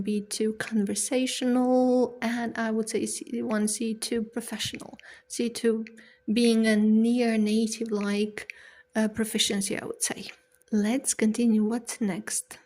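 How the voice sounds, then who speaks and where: Host type: woman, self-deprecating, chatty, rather monotone. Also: on the high side. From Serbia. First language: Serbian. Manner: chatty, self-deprecating, stops and restarts words. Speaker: language-learning channel host. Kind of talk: casual talk to camera.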